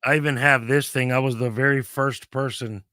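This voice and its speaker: Laid-back man hosting a livestream, bright tone. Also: mid-range voice, fairly even intonation, normal speed, no room sound, studio quality. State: losing his voice. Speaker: livestream host. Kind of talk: casual chat.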